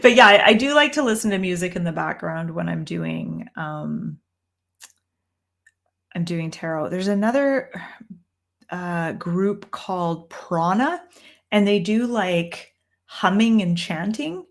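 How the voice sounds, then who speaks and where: A woman's voice: on the low side, animated, slow, gravelly. A young Canadian woman with a Canadian accent hosting a casual tarot Q&A livestream from a room at home, thinking aloud with frequent ums and restarts. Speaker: tarot reader and livestream host.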